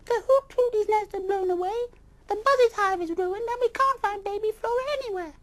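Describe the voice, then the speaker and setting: Teeny panicky rabbit, squeaky high and loud, wails every word. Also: male voice, brisk, a little hiss or hum. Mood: wailing. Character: tiny frantic male rabbit.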